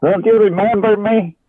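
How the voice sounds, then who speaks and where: A man's voice: high voice, steady tone, takes it slowly, narrow, tinny audio, crowd noise. A man calling in to an online meeting by phone, chatting casually and hesitantly with repeated words. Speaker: participant calling in. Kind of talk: casual chat. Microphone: phone on a video call.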